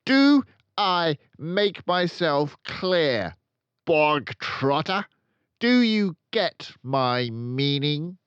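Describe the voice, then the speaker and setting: A man voicing a tyrannical, bullying headmistress in a storybook, shouting and drawing out commands. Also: a little high, big pitch swings, smooth, no echo, dull audio, voice pushed up, heavily acted. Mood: furious. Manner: shouting, drawn-out commanding delivery. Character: Trunchbull, tyrannical bullying headmistress, loud and menacing woman.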